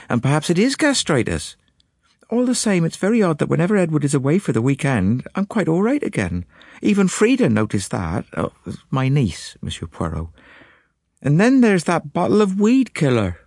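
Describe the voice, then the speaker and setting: A man's voice: on the high side, very animated, moderate pace, a little mellow, no echo, studio quality, pitched above the performer's own voice. A man voicing a fretful middle-aged lady. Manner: breathless, complaining, prim. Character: fretful middle-aged woman, anxious and indignant. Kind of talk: audiobook character voice.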